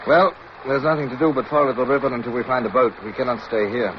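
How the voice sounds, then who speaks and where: An actor playing a resolute naval officer in a radio drama, commanding yet tender, crisp and formal as he tells of his war. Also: mid-range voice, steady tone, quick pace, close to an everyday voice. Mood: firm. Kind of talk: radio drama acting and first-person narration. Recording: muffled, noisy old radio recording.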